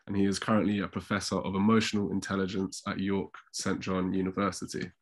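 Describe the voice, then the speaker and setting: A man with a fairly deep voice hosts a panel over a video call. He sounds nasal and bunged up with a cold as he makes introductions. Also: little pitch movement, fast talker. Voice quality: nasal, congested. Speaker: panel chair. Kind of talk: introducing an online panel discussion. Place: indoors at home on a video call. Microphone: video call microphone.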